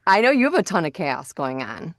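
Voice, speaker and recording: A woman's voice: sits low, sing-song, brisk, no echo, clean studio sound. A woman hosting a podcast, talking in a chatty, informal, rambling way. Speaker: podcast host. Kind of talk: casual chat.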